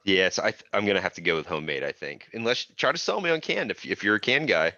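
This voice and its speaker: A man's voice: fairly low pitch, sing-song, fast talker, pushed and bright. A man guest on a podcast, chatting casually, laughing often and joking at his own expense. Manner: laughs often, self-deprecating. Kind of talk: casual chat. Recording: dull, dry lo-fi audio.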